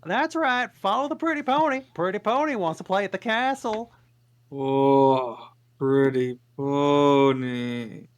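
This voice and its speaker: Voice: mid-range voice, slow pace, lightly acted. A performer voicing a chatty talking donkey in a table read, coaxing in a sing-song way.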